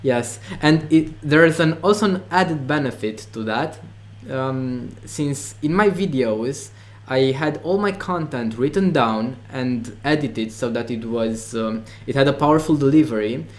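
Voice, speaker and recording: A man's voice: mid-range voice, a little slow, few pauses. Hesitant man with a Romanian accent. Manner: hesitant, many fillers and restarts. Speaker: online course creator. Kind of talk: interview conversation.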